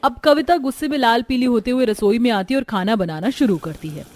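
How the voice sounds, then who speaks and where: A woman's voice: fairly high pitch, fairly quick, darkish tone. A woman narrator reading a scripted cartoon family story. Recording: music behind the voice.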